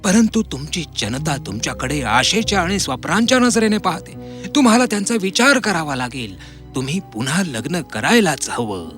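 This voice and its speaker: A fawning, power-hungry courtier from a fairy tale cartoon. The voice is high and bright, wildly expressive, with a theatrical wheedle. Faint music plays behind it. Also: male voice, normal speed. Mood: fawning, wheedling. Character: scheming, fawning male palace courtier greedy for power. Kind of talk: animated fairy tale character acting.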